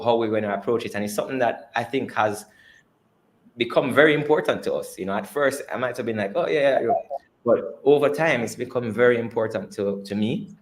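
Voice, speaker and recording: A man with a Jamaican accent speaking as a company executive on an earnings call, conversational, often repeating and rephrasing his words. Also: fairly low pitch. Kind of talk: earnings call presentation and answers.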